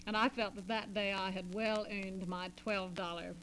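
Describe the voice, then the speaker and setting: A middle-aged American woman talking conversationally as a radio guest, with measured, deliberate phrasing. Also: medium pitch, steady tone, fairly bright tone. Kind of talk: conversational storytelling and discussion. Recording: noisy, humming 1940s transcription disc.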